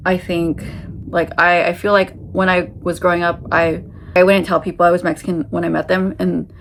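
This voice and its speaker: Rambling American woman, low voice. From United States. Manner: rambling, many fillers and restarts. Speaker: vlogger answering viewer questions. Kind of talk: casual Q&A chat. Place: her home in Japan.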